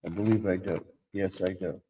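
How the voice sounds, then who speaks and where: A man's voice: sits low, steady tone, normal speed, dark tone, long reverb tail. A man hosting a casual live stream, his voice scratchy from a sore throat and his talk rambling and self-interrupting. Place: home room.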